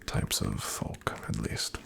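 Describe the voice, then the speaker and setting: A man in an ASMR roleplay voicing a calm, careful paladin travel companion, softly whispering to the listener. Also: slow pace, lightly acted. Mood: calm hushed. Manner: soft, gentle whispering to the listener.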